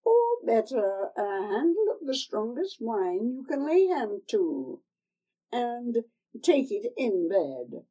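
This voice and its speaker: Woman doing a cackly old crone, high and sly, husky edge. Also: sing-song, slow, mellow, full character voice, rough recording. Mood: sly warning. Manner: coaxing, harsh, screeching. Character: cackling wheedling old female herbalist crone.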